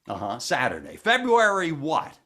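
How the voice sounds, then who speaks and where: A man's voice: on the high side, huge pitch swings, moderate pace, sharp tone, exaggerated voice. A middle-aged man playing a vain, stingy, sulking boss in a recreated 1950s radio comedy, huffy and indignant. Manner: huffy, indignant comic delivery.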